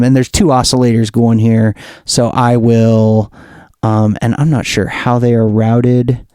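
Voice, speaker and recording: A man with a mid-pitched voice walks through software in a casual tutorial style. He talks informally, thinking aloud, and the sound is close and dry. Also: smooth, dry recording. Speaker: music producer demonstrating software.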